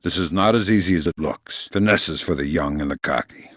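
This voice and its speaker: Very deep-voiced deadpan veteran covert operative. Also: male voice, fairly quick, no echo, clean studio sound. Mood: focused, terse. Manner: deadpan, sardonic, quietly menacing. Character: gruff, dry-witted veteran male covert operative. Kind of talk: video game voice acting, isolated dialogue lines.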